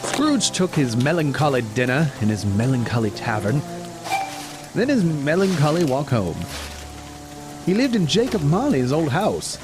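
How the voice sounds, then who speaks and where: A man narrates a scripted story in a dramatic style. His slightly high voice rises and falls very widely, over clearly audible music. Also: sing-song, unhurried.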